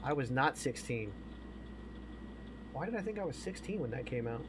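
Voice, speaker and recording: A man's voice: medium pitch, sing-song, moderate pace. Opinionated man in his forties, noisy background. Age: 40s. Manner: opinionated, emphatic, repeats himself for emphasis. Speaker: podcast co-host. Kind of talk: casual chat about movies.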